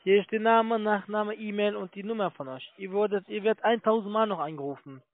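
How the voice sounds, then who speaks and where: A man's voice: high-pitched, fairly quick. A man cold-calling as a call-centre agent, pushy and using non-native phrasing. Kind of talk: phone sales call. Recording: narrow, tinny phone line.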